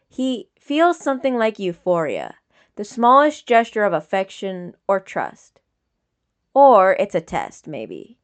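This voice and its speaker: A woman's voice: medium pitch, clean tone. A woman reading scripted fiction as an audiobook narrator.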